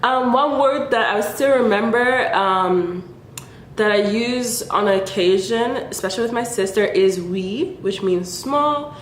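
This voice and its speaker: A woman's voice: mid-range voice, unhurried, slight background noise. A young woman from Nigeria chatting playfully to her viewers in a casual vlog, with laughs between remarks. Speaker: vlogger. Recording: echoey room.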